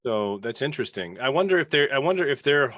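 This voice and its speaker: A man hosting a casual panel discussion, talking in a halting way and restarting sentences as his thoughts form. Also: mid-range voice, animated, a bit bright, dry recording, clean studio sound. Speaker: podcast host leading a panel.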